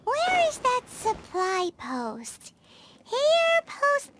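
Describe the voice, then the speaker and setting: A woman voicing a small, excitable, cheeky fairy companion in a video game, with a squeaky, childlike voice. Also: drawn-out words, very noisy, heavily acted. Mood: eager. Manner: refers to self in third person, exclamatory. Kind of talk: English game voice acting. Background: background music.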